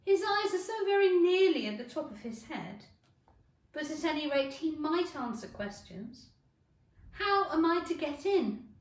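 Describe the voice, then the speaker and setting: A woman's voice: sits high, sing-song, measured pace, pitched above the performer's own voice. A woman voicing a proper, plaintive young girl in a storybook, polite but easily exasperated. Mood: puzzled. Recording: roomy echo, distant mic.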